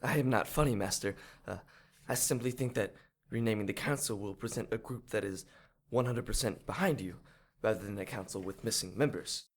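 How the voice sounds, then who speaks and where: A man's voice: fairly low pitch, fairly quick. A man playing a servile acolyte of a secret order in an audio drama, hesitant, deferential and stammering. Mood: nervous, appeasing.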